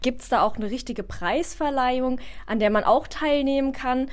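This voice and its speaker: A woman's voice: high-pitched, normal speed. A woman interviewer asking curious questions, several in a row. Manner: curious, asks questions in runs.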